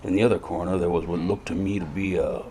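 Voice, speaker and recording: A man playing a world-weary private detective, speaking in a brooding, deadpan, sardonic noir style. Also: low-pitched, quick pace, slight background noise, natural voice. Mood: sardonic, guarded. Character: gloomy, sardonic hard-boiled male private detective. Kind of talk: hard-boiled noir detective narration and dialogue.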